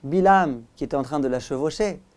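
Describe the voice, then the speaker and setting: A man, a rabbi, giving a religious lesson as animated storytelling, with dramatic pauses and direct address to his listeners. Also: a little high. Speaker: rabbi, teacher.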